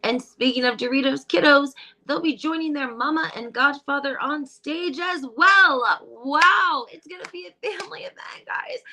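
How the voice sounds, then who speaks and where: A woman hosting a casual gossip vlog, talking in a crisp, bright tone. She is breezy and chatty, reading headlines aloud and filling gaps with ums and likes. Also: sits high, poor audio. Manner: chatty, breezy, lots of fillers. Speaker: gossip channel host.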